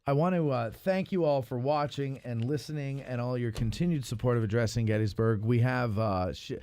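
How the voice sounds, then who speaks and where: A man's voice: medium pitch, brisk, darkish tone, clear, resonant tone. An American man hosting a casual chat show, informal and rambling as he thinks aloud. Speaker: podcast host.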